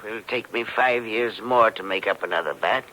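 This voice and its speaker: An actor playing an anxious, halting older professor in 1940s radio drama style. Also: male voice, fairly low pitch, pushed and bright. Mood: anxious, cautious. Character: frightened older professor, fussy chemist guarding his elixir. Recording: noisy, crackly 1940s radio recording.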